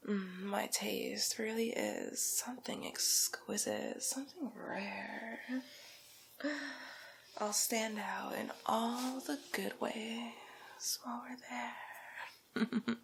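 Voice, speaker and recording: A woman playing a haughty, vain, condescending rich villainess in a whispered ASMR roleplay, with a breathy, creaky voice and drawn-out, patronizing delivery. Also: a little high, fairly even intonation, full character voice, low-quality recording. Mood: smug, patronizing. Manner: slow, drawn-out, theatrical, patronizing. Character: haughty, vain, condescending wealthy villainess, fur-loving fashion snob.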